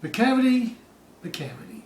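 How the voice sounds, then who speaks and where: Echoey, slow, theatrical man reciting verse. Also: very high-pitched, very animated. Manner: dramatic, suspenseful, drawn-out phrasing with hushed dips and loud peaks. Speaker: poetry reader. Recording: distant, echoey room with faint hiss.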